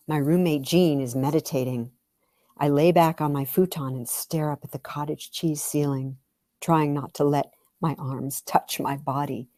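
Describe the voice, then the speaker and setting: A woman with a fairly low voice reads a first-person story aloud. Her delivery is dramatic and expressive, sometimes sinking toward a whisper. The recording is dry, with little room sound. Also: dry recording. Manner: theatrical, expressive, with whispered phrases. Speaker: author reading own memoir story.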